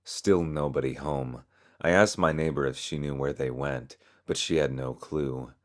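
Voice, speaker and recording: A man's voice: rumbling low, steady tone, a little slow. A man reading scripted story narration as a narrator.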